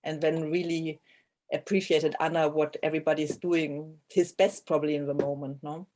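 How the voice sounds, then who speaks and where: Female interviewee, native German speaker, narrow pitch range, thoughtful, tentative, checks in with tag questions. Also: level tone, normal speed, darkish tone, a little hiss or hum. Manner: tentative, seeks agreement with tag "no?". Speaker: interviewed author. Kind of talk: reflective interview conversation.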